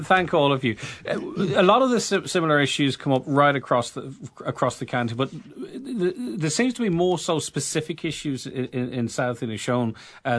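A man with a Donegal Irish accent hosting a radio candidates' panel, questioning briskly and pushing for answers. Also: mid-range voice, fairly bright tone. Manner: brisk, pushy questioning with filler restarts. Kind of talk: live debate moderation.

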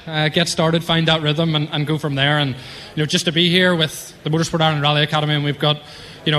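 A man's voice: on the high side, sharp tone. A man, a rally driver answering questions on stage at a rally event, earnest and hesitant with many fillers. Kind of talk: live event interview.

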